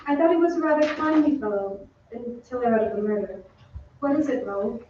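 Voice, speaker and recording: An actress plays a polite young woman of the opera with a high voice in an old-time radio play. She speaks slowly and gently, easily flustered. The sound is distant and muffled, ringing heavily around a theatre stage. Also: very echoey, noisy background, natural voice. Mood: uneasy.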